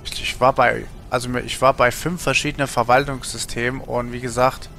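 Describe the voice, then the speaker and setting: A man in his thirties with a creaky, bright voice chats casually on a gaming stream. He rambles colloquially, thinking aloud as he goes, with faint music behind him. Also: mid-range voice, flat delivery, fairly quick. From Germany. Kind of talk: casual livestream chat while gaming.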